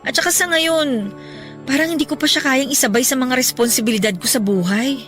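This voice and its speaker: A high-voiced, burdened young Filipina. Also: female voice, a little fast, a bit bright, soft background music. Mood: wistful, burdened. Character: stressed young Filipina breadwinner confiding heartbreak to a friend. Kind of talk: Tagalog radio drama acting.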